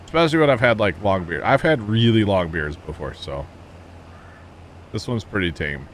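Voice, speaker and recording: A man's voice: lowish voice, animated, moderate pace, a little hiss or hum. A man chatting casually with his stream viewers while he plays, telling rambling jokey stories and chuckling often. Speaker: livestream gamer. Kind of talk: casual livestream chat with viewers.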